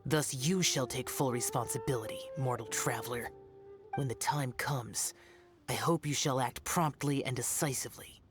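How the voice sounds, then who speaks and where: An actor playing an aloof young immortal demon slayer, speaking sternly and formally in a video game. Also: male voice, mid-range voice, normal speed, close to an everyday voice. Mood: stern, solemn. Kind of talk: video game character acting. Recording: game music behind the voice.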